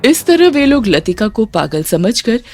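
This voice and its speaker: A woman's voice: on the high side, big pitch swings, measured pace, a little mellow. A woman giving dramatic scripted narration of a moral story.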